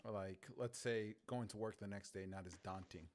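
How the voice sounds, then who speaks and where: A man's voice: fairly low pitch, fairly even intonation, normal speed, noisy background. A man in casual conversation as a guest, agreeable and informal in his way of talking. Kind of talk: casual chat.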